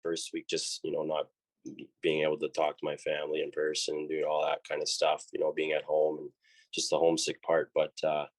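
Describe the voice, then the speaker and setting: A man's voice: very deep voice, completely flat delivery, fairly quick. A young man with a Canadian accent, a college hockey player answering interview questions in a laid-back way, full of fillers and restarts. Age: young adult.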